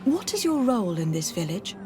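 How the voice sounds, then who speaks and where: A woman's voice: medium pitch, big pitch swings, brisk, music in the background, close to an everyday voice. An actress playing a composed noblewoman envoy in a fantasy game, asking polite questions. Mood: calm, inquiring. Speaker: game character actor. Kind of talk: scripted fantasy game dialogue.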